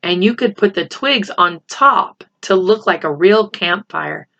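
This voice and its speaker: A woman's voice: mid-range voice, animated, measured pace, gravelly, a bit bright. Woman as doubting schoolboy, sarcastic, challenging, storybook dialogue, slightly lo-fi audio. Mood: practical. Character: skeptical, sarcastic schoolboy, the friend. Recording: slightly dull, lo-fi home recording.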